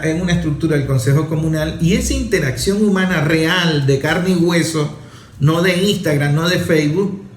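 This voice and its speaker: A man's voice: a little high, darkish tone. A man with a Venezuelan accent, a journalist host giving political opinion commentary in emphatic, repetitive rhetorical phrasing. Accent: Venezuelan Spanish. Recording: roomy echo, faint music underneath.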